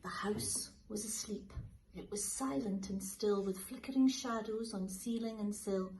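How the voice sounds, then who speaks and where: A woman teacher reading a children's picture book aloud in a slow, lilting, expressive way. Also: medium pitch, slight background noise, low-quality recording. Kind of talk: storybook read-aloud for children.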